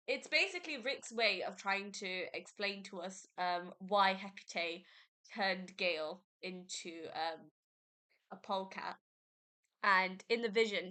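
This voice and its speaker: A woman's voice: mid-range voice, sharp tone. A young woman, congested from a cold, chatting casually as host of her own book podcast. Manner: chatty, rambling, with fillers and self-corrections.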